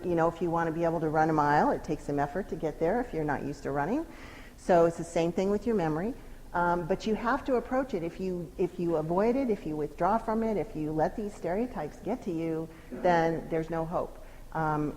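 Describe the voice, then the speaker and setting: A middle-aged woman giving a psychology lecture in a lively, emphatic, conversational teaching manner. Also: on the low side. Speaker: psychology professor giving a talk. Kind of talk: lecture presentation. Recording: long hall reverb, faint noise.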